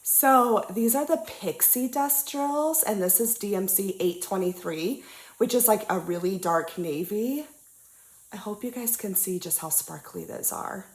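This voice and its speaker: A young woman with a cold-stuffed, slightly nasal voice chats cheerfully, full of ums and uhs. She sounds relaxed and friendly at a crafting table. Her voice bounces off a roomy space. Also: mid-range voice, a little slow. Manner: bubbly, chatty, lots of ums and uhs. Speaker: diamond painting channel host. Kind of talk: casual crafting chat. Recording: roomy echo.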